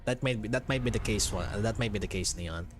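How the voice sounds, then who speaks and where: A man's voice: fairly low pitch, fast. A man with a Filipino accent giving casual live gameplay commentary and chatting with viewers, rambling and repeating his phrases. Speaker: game streamer. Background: faint game music.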